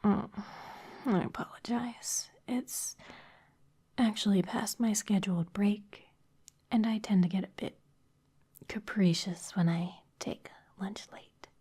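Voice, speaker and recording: A woman voicing a sly, intimidating female vampire executive in a close, breathy ASMR whisper, polished and teasing. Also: mid-range voice, steady tone, takes it slowly, mellow. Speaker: ASMR performer.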